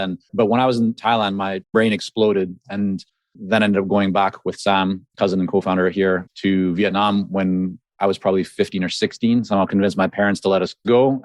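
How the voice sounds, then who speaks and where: A man with a lowish voice hosts a podcast interview at a brisk clip, chatty and quick to laugh. He grew up in Canada with Hebrew as his first language. The sound is dry and close, with little room. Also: no room sound. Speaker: podcast host. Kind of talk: conversational interview.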